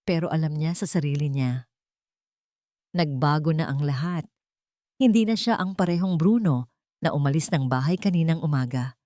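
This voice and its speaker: Female storyteller for scripted melodrama, somewhat low voice, very dry studio-like sound. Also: moderate pace, long pauses, warm tone, no echo. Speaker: story narrator. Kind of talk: scripted dramatic narration.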